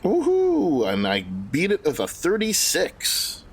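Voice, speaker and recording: A man's voice: fairly high pitch, huge pitch swings, slow, breathy edge. A man playing a tabletop role-playing game, talking casually as he plans with the group. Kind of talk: casual collaborative planning talk.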